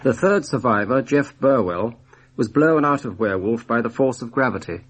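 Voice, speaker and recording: Male narrator, average pitch, scripted radio documentary links, faint hiss. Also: expressive, moderate pace. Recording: hissy 1960s radio documentary recording.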